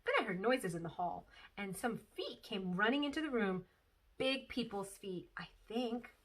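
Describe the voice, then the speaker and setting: A woman's voice: mid-range voice, lively intonation, normal speed, distant mic, low-quality recording. A woman teacher reading a children's book aloud expressively, with asides to her listeners.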